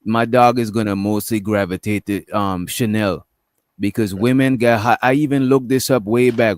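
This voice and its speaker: A man with a raspy voice, talking in a laid-back, halting way on a panel. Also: mid-range voice, no echo, clean studio sound. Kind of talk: casual panel chat.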